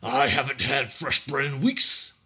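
A man's voice: mid-range voice, expressive, moderate pace, sharp tone, husky, exaggerated voice. A man voicing a fat, greedy, bullying British soldier in a children's storybook, with grunting, snarling, rustic old-fashioned speech. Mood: angry, demanding.

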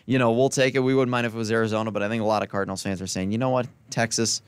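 A young man hosting a college sports podcast, running through playoff schedules and scores in a casual, chatty way with quick asides. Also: on the low side, steady tone, clean tone. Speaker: podcast host. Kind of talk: solo sports podcast rundown. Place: college radio studio.

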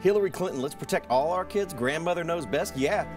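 A man's voice: on the high side, lively intonation, fairly quick, far from the mic, music in the background. A man in his thirties co-hosting a comedy segment in a studio, reading out social posts in a jokey, mock-dramatic way. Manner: theatrical, jokey. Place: television studio set.

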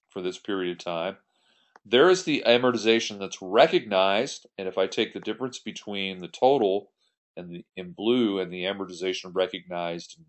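A man giving an explanatory tutorial as an accounting instructor, working through an example step by step in a conversational way. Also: fairly low pitch. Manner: step-by-step, conversational, poses questions then answers them. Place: voice-over on a screen recording.

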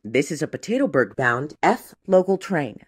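A woman's voice: deep voice, big pitch swings, normal speed, slightly rough. A woman giving scripted, formal train announcements in segmented phrases, like an automated transit system.

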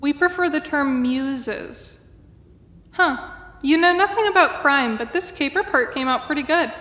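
An actress playing a sassy, teasing imaginary muse in an audio drama, with an echoing effect on the recording. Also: female voice, high-pitched, measured pace, a bit bright. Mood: sassy, cheeky. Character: cheeky female muse, an imaginary fictional character. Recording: reverberant, echoing hall effect.

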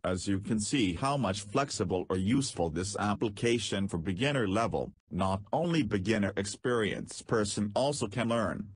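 Tutorial narrator: man, low husky voice, terse step-by-step delivery, dry close recording. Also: animated, normal speed, few pauses, dry recording. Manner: terse, step-by-step instructions with non-native phrasing. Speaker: programming tutor.

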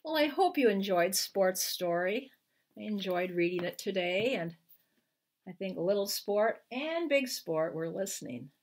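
Older woman, American accent, animated intonation, mid pitch, unhurried storyteller delivery, home webcam recording. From Iowa, United States. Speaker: children's book author. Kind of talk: picture book read-aloud and informal talk to viewers. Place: living room at home, indoors. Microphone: webcam at arm's length.

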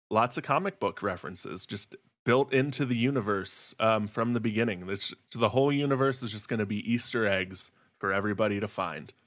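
A man's voice: medium pitch, a bit bright. A man chatting as a podcast panelist, joking through a theory and repeating words as he builds his point. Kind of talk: casual chat, joking theorizing.

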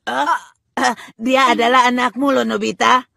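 A woman's voice: fairly high pitch, fairly even intonation, moderate pace, vocal fry, sharp tone, no room sound, clean studio sound, full character voice. A woman voicing a sensible, soothing, mildly exasperated robot cat companion in an Indonesian-dubbed children's cartoon. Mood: puzzled.

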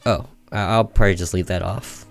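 A man's voice: sits low, fairly even intonation, a little fast, gravelly. A man doing casual gameplay commentary and reading on-screen story text aloud. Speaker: gamer doing a let's play, reading game text aloud.

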